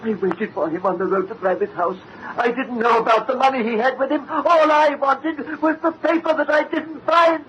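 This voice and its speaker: A man's voice: extremely high pitch, a bit bright. An actor playing a guilty man with an agitated, stammering manner in 1930s radio horror. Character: guilty murderer, indignant, then terrified and breaking down. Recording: muffled old radio recording with hiss.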